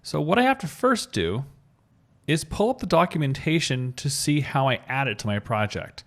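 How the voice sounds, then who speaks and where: A man's voice: medium pitch, sing-song, normal speed. A man giving an upbeat, informal screencast coding tutorial, explaining things step by step. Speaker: web developer giving a tutorial.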